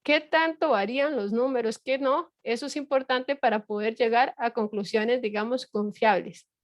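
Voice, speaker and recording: Middle-aged woman teacher, patient and repetitive, slightly high-pitched, dry close sound. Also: moderate pace, no echo, muffled recording. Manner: patient explaining with frequent fillers and rhetorical checks. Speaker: university lecturer. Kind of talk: online lecture.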